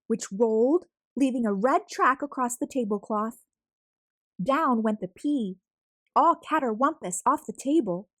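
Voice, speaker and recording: A woman reads a picture book aloud for children in an expressive, deliberate way. Her voice sits fairly high and moves with great animation, and the recording is close and dry. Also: no echo. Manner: expressive, deliberate, drawn-out emphasis on words. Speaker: storyteller, read-aloud host.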